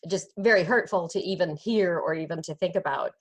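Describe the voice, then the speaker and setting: A woman's voice: lowish voice, brisk. A woman interviewing a guest, thoughtful and hesitant with many fillers. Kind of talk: conversational interview.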